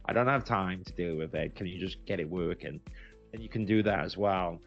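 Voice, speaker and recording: A man's voice: low-pitched, a little fast, gravelly, dull audio, soft background music. A British man giving a casual, unscripted review as a business founder, explaining things conversationally and repeating words for emphasis. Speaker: recruitment agency founder giving a software review.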